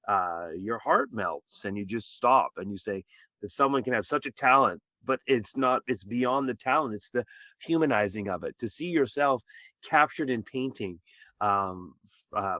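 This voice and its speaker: A man's voice: lowish voice, bright, forceful tone, no echo. A man, a teacher, speaking reflectively and from the heart in a podcast interview. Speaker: teacher and co-author.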